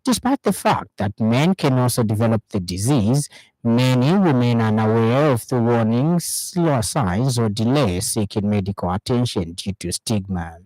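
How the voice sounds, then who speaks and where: A man with a Zambian accent reads the evening radio news from a script. His delivery is formal and measured, at an ordinary male pitch. The sound is dry and close, as in a studio. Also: expressive, darkish tone, dry recording, poor audio. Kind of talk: scripted news bulletin reading.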